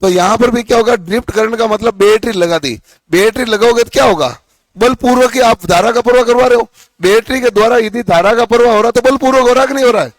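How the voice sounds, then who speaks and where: A hoarse man with a fairly high voice teaches a lesson. He keeps asking the students questions and then answers them himself. The sound is dry and close, with no room echo. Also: quick pace. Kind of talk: classroom lecture.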